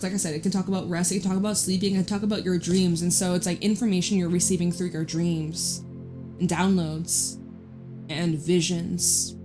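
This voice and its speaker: Loud chatty woman reading tarot. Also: lowish voice. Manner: loud, chatty, rambling with side stories. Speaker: tarot reader. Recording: guitar music playing behind. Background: guitar music.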